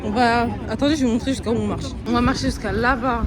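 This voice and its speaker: A woman's voice: on the high side, steady tone, fast. Chatty young woman vlogging on busy streets. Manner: chatty, slangy, talks straight to viewers. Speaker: vlogger host. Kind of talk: casual travel vlog narration. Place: busy city streets and shops, outdoors. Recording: loud street crowd and music behind.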